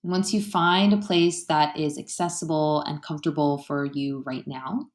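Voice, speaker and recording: A woman with a low voice guides a meditation in an echoey, roomy space. Her delivery is gentle and unhurried, with soothing phrasing and pauses between thoughts. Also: steady tone.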